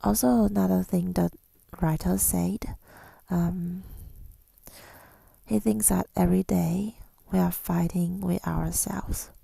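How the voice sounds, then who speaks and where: A woman speaking in a soft, breathy whisper in an ASMR style, reflective and hesitant, pausing often, close to the microphone. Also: on the low side, fairly even intonation, no echo. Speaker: ASMR creator. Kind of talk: ASMR, rambling personal reflection.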